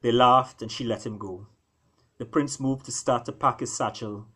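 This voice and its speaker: A man tells an animal fable as an expressive storyteller, reading his own writing. His pitch sits in the middle for a male voice, and he shapes phrases deliberately, pausing for dramatic weight. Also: breathy edge. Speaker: author reading own work.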